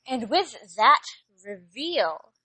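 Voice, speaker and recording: A woman hosts with a scripted sign-off. Her voice is bright and animated, taken slowly, and recorded very dry. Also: a little high, no room sound, low-quality recording. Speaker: host. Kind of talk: scripted outro.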